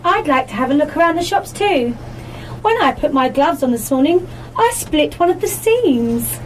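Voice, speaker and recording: Actress playing amiable female companion, high voice, nostalgic, radio drama, faint background noise. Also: expressive, normal speed, rough recording. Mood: matter-of-fact. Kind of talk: live amateur radio play acting.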